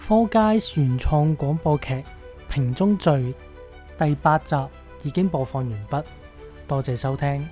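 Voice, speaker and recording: A very low-voiced woman with a Hong Kong Cantonese accent reads scripted end credits as an announcer. Her tone is dark and mellow, with music softly underneath. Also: measured pace. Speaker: announcer reading closing credits.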